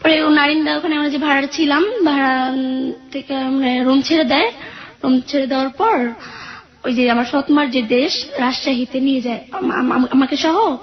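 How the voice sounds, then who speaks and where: An 18-year-old woman telling her own life story in a radio interview, hesitant and soft-spoken. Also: high-pitched, moderate pace, lo-fi sound. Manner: hesitant, soft-spoken, with frequent "mane" fillers.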